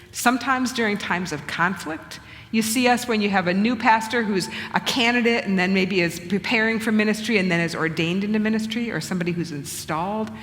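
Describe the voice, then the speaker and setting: A middle-aged woman bishop talks in an earnest, measured manner. She thinks aloud, often restarting and rephrasing her thoughts. Her voice rings with a long reverb in a church hall. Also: mid-range voice, fairly bright tone. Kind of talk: conversational church talk. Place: church sanctuary.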